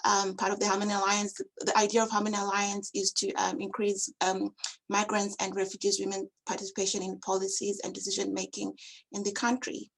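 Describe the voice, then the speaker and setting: A woman's voice: mid-range voice, monotone, fairly quick. A woman hosting an online panel, introducing speakers over a video call. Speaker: webinar moderator.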